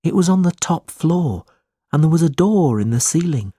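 A man's voice: a little high, unhurried, dark tone, slightly rough, dry recording, voice pushed up. A man voicing a weak, timid orphan boy in a storybook, sad and fearful.

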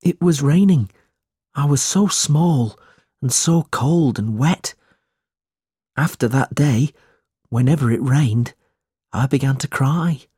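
A man's voice: mid-range voice, slow pace, long pauses, dark tone, breathy edge, no echo, studio quality, pitched above the performer's own voice. A man voicing a weak, timid orphan boy in a storybook, sad and fearful.

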